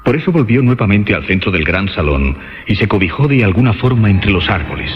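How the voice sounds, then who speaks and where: An actor playing the grave narrator of a suspense radio play, a man telling it in a measured, ominous way. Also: on the low side, big pitch swings. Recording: reverberant, dull radio sound with music.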